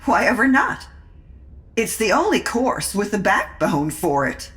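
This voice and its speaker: Woman playing a haughty, tart lady, very expressive. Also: medium pitch, unhurried. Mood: tart, defiant. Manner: arch, dry, sardonic. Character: tart, haughty society woman, unflappable and cutting. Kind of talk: audio drama acting.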